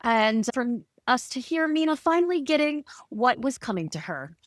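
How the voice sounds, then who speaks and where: Bubbly, lively-voiced woman host. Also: high-pitched, normal speed, no echo, studio quality. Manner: bubbly, laughs often. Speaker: podcast host. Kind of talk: casual chat.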